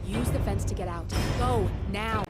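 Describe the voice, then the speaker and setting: An actress playing a tough covert operative in a video game cutscene, giving clipped, forceful commands with urgency. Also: female voice, mid-range voice, moderate pace, lightly acted. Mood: urgent, tense. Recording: loud cinematic music behind.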